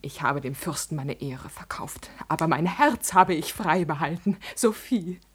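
A woman's voice: low voice, lively intonation, a little slow, exaggerated voice. An actress playing a passionate, proud and agitated noblewoman in a classical German radio drama, with theatrical, intense delivery. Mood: fervent. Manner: theatrical, intense, breathy outbursts.

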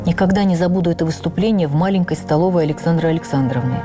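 A woman playing an older actress who recalls her life in a heartfelt, measured radio memoir reading with deliberate pauses. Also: on the low side, loud music behind the voice, lightly acted. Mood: reflective. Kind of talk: dramatic first-person memoir reading.

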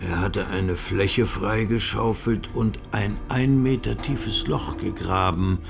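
A grave storyteller narrates a radio crime drama in a very deep voice with a creaky grain. Music plays clearly behind the scripted narration. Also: male voice, slow. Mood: grave, measured.

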